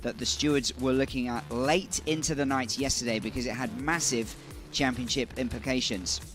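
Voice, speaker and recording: Brisk male motorsport commentator. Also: medium pitch, fairly even intonation, fairly bright tone, soft background music. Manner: brisk, informative, building emphasis on key moments. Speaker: race commentator.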